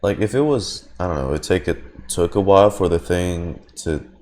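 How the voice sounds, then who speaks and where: A man's voice: low-pitched, normal speed, a little mellow. A man streaming a game with casual live commentary, musing and thinking aloud in a hesitant way.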